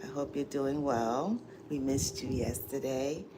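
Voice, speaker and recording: Woman live streaming from home, real low voice, stuffy nose, slow and full of ums, noisy audio. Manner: slow, many ums, warm greetings to viewers. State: stuffy from allergies, dry throat. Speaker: livestream host and coach. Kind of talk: casual live chat.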